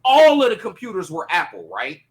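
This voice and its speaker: A man chatting casually on a podcast panel in an animated, slangy, profane way. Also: high-pitched, brisk.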